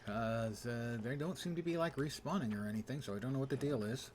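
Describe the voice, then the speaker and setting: A man's voice: medium pitch, fairly quick, darkish tone, slight background noise. A man casually commentating his video game live as a streamer, talking to himself in a wry way.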